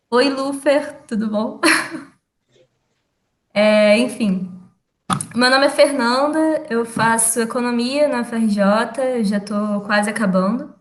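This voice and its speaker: Young rio girl teaching online, kinda high voice, lots of "é, enfim", echoey room. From Rio de Janeiro, Brazil. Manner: relaxed, laughs often, many fillers. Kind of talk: casual online class. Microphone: video call.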